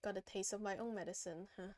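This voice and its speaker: A woman voicing a self-assured young witch fortune-teller from a video game, wry and deliberate in manner. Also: mid-range voice, fairly even intonation, darkish tone, no room sound, voice pushed down, lightly acted. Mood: wry, teasing. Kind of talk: reading video game dialogue aloud.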